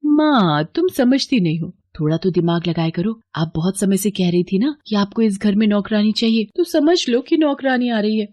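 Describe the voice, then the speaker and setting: A woman's voice: medium pitch, expressive, moderate pace, hardly any pauses, a little mellow, no echo, lightly acted. A woman voicing a sweet-talking, scheming young sister in a cartoon.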